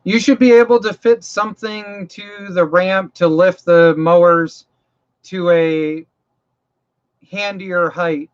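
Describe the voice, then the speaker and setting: A man's voice: sits high, fairly even intonation, takes it slowly, clear, resonant tone. A man from Maine hosting a casual livestream, rambling and folksy as he answers viewers' mower-repair questions, his voice getting tired. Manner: rambling, folksy, repeats himself and restarts phrases; practical, walks viewers through mower repairs. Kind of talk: casual live chat. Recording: dull lo-fi stream audio.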